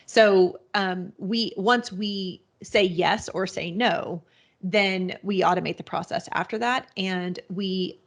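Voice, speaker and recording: A woman's voice: mid-range voice, slow. A woman guest on a podcast, explaining her business in a rambling, casual way with many restarts and fillers. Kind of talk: casual interview answers.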